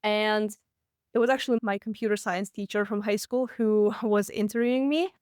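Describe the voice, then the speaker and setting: A woman's voice: medium pitch, fairly even intonation, a little mellow, smooth, no echo, studio quality. A woman in her late twenties from Latvia with a Russian accent, hosting a solo business podcast and telling her own story candidly, with frequent laughs. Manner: candid storytelling, quick and chatty, laughs often. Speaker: podcast host.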